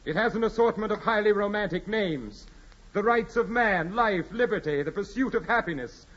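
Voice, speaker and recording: An actor playing a proud, imperious aristocratic landowner with formal, measured speech in a 1940s radio drama. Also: male voice, sits high, animated, a bit bright. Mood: imperious, cold. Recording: muffled 1940s radio recording with music.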